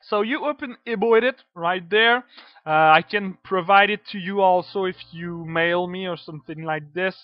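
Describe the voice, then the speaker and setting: High-voiced man with a French accent. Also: moderate pace, dry recording. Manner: hesitant, many fillers, casual swearing. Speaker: modding tutorial presenter. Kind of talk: casual screen-recorded tutorial. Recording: dull dry lo-fi computer mic.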